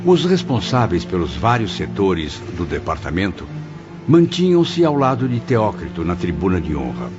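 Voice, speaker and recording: A man's voice: low-pitched, wildly swooping pitch, normal speed. A man playing a dignified departed memoirist, narrating formally in an audio drama. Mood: reflective. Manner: formal, descriptive. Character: dignified deceased male memoirist recalling the afterlife. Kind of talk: scripted first-person dramatised narration. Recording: dull lo-fi audio with music behind. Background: clear background music.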